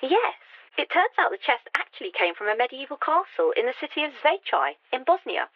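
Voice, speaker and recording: A woman playing an eager, knowledgeable researcher in an audio drama, heard husky and earnest through a telephone speaker. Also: high-pitched, brisk, dry recording, full character voice. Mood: informative. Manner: excitable, earnest. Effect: telephone speaker filter. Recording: dull, dry phone-speaker sound.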